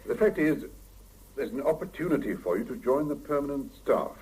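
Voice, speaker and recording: An actor playing a stern middle-aged boss in a 1970s radio drama, clipped and authoritative. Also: male voice, medium pitch, lively intonation, brisk, a little mellow, slight background noise, lightly acted. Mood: measured, formal. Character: stern middle-aged male laboratory boss, brisk and exacting. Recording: muffled 1975 radio drama cassette recording.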